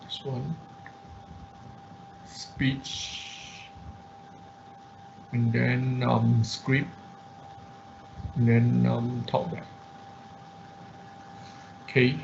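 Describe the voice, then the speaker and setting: A man instructing an online class through a live software demonstration, talking haltingly as he thinks aloud. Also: medium pitch, little pitch movement, vocal fry. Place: indoors, online class at a computer. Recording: muffled online class audio with background noise.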